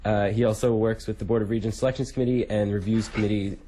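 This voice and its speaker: A young man hosting a casual radio interview, feeling his way through questions with halting phrasing. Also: fairly low pitch, fairly even intonation, mellow, slight background noise. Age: young adult. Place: radio studio.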